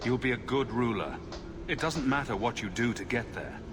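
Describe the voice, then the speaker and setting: A man's voice: medium pitch, lively intonation, normal speed, noisy background, lightly acted. A man playing a cool, sardonic adventurer hero in a fantasy video game. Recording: echoey, quiet game audio under music.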